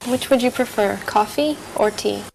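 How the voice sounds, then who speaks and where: A woman reading a server's part in a scripted practice dialogue, polite and clearly spoken. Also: medium pitch, moderate pace, a little hiss or hum, rough recording. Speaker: dialogue reader playing a server.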